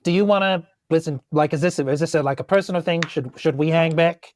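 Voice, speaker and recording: A man playing a tabletop game, chatting casually in a halting, jokey way as he improvises. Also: a little high, darkish tone, slight background noise. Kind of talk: casual improvised role-play chat.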